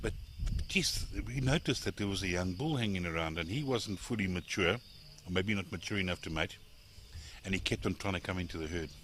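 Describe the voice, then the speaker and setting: South African man, very low voice, rambling campfire tales, crickets and outdoor noise behind. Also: a little fast, a bit bright. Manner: rambling, chuckling, trails off and restarts. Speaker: safari tour guide. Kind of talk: campfire storytelling. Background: crickets chirping.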